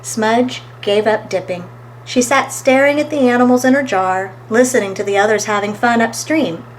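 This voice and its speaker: A woman reading a children's story aloud as an expressive storytime narrator, recorded with faint background noise. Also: on the high side, moderate pace, low-quality recording. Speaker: storytime reader.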